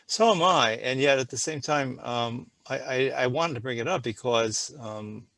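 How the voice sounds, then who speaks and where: An older man hosting a casual group conversation over a video call, thoughtful and halting, often restarting his sentences. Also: mid-range voice, a bit bright, no room sound. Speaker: podcast host.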